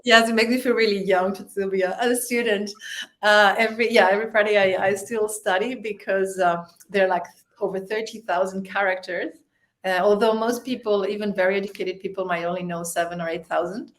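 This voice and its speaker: A woman's voice: mid-range voice, fairly even intonation, fairly quick, a bit bright. A woman born in Spain, Spanish her first language, chatting as a podcast guest, laughing often and restarting her sentences. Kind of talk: casual interview chat.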